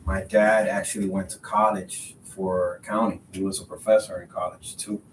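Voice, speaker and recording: A man in his thirties from the Republic, a Spanish speaker, chatting in an interview in a halting way, repeating words. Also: flat delivery. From Dominican Republic. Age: 30s. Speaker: podcast guest, realtor. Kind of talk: casual interview conversation. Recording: roomy echo, distant mic, faint rumbling noise.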